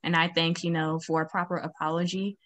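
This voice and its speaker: A woman's voice: fairly low pitch, level tone, brisk, a little hiss or hum. Earnest young woman on a video call. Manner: earnest, urgent, many fillers. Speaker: student activist. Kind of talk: town hall video call. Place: home, virtual beach background.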